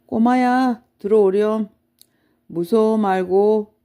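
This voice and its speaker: A woman voicing a very old, toothless, hungry male giant in a gruff, emphatic manner for a children's storybook. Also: medium pitch, takes it slowly, mellow, voice pushed up. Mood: coaxing.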